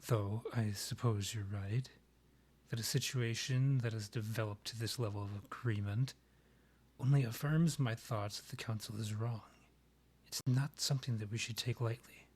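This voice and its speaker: Husky, courtly vampire king, acted by a man. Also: on the low side, steady tone, darkish tone. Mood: dry teasing. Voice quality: husky, breathy. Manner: formal, measured, theatrical phrasing. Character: proud, courtly male vampire monarch, rival and ex. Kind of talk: scripted roleplay audio, ASMR.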